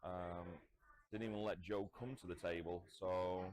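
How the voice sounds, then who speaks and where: A man commentating live on a pool match, talking loudly and in a chatty way. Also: low-pitched, fairly even intonation, unhurried. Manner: loud, chatty, laughs easily. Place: commentary spot at a pool venue.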